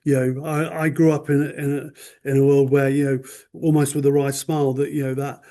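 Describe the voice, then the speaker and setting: A man speaking as a guest on a podcast, thoughtful and measured, leaning on "you know" as he talks. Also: medium pitch, level tone, dark tone. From United Kingdom. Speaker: magazine owner, podcast guest. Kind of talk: casual interview chat.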